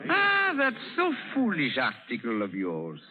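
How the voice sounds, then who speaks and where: An actor playing a menacing French pirate captain with a French accent, mock-courteous and theatrical, in a vintage radio drama. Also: male voice, mid-range voice, huge pitch swings, unhurried, narrow, tinny audio, a crowd in the background. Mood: defiant, scornful. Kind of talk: 1930s-40s radio drama acting. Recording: band-limited old radio broadcast.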